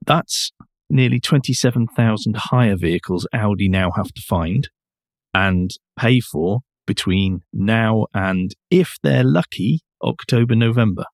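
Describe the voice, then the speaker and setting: Lowish-voiced man, very dry sound. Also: unhurried, dry recording. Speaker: podcast co-host. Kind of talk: conversational car news discussion.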